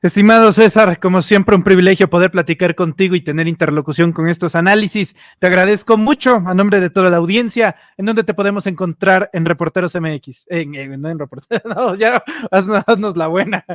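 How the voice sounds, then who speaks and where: Man, interview host, cordial, jokey, raised pitch, dry close mic. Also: fairly quick, hardly any pauses, dry recording. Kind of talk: friendly interview wrap-up.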